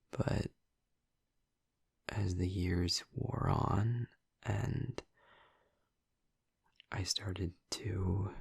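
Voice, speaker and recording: A man playing a gentle, earnest vampire boyfriend in an intimate audio roleplay, speaking softly and haltingly in a husky, breathy, hushed voice. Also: low voice, no echo, clean studio sound, full character voice. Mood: reflective, subdued. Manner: halting, soft-spoken, confiding with long pauses. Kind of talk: intimate audio roleplay, ASMR-like soft delivery.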